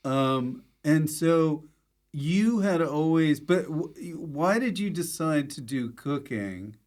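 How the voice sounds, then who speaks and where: A man's voice: on the high side, lively intonation, takes it slowly, a little mellow. A man hosting a podcast, chatting casually and jokingly as he interviews a guest, often chipping in with quips. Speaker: podcast host. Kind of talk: casual comic interview chat.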